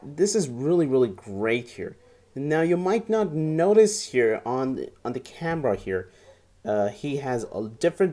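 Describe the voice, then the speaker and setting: A man's voice: on the low side, warm tone. A young man giving a casual, enthusiastic toy review to camera at home, often repeating words as he goes. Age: young adult. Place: small furnished room at home, indoors.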